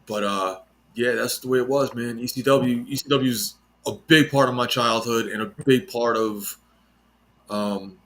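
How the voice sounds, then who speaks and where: A man's voice: mid-range voice, steady tone, normal speed, fairly bright tone, a little hiss or hum. A man in his late thirties chatting casually, laid-back and rambling with lots of fillers. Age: 30s. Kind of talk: casual chat.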